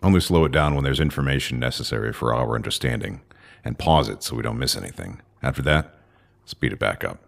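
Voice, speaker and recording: Commanding male actor, bottomless gravel voice, practical, sci-fi radio play. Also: very deep voice, expressive, brisk, heavily acted. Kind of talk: audio drama dialogue.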